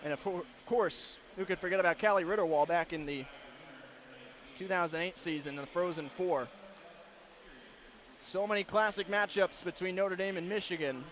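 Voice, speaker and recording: A man doing live radio play-by-play commentary of ice hockey, narrating the action in crisp detail from an arena. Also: sits high, moderate pace, long pauses, a bit bright. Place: broadcast position in an indoor hockey arena. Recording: live broadcast with clear arena noise.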